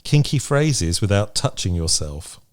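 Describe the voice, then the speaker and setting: A man's voice: on the low side, sing-song, measured pace. A man co-hosting a podcast, chatting casually and frankly in a matter-of-fact way. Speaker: podcast co-host. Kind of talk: casual chat. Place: remote podcast recording.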